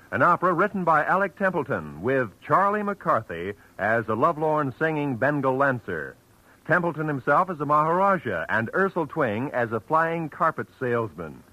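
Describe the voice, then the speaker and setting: A man's voice: fairly high pitch, pushed and bright. A man with an American accent announcing in the style of 1940s network radio. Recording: dull vintage radio recording.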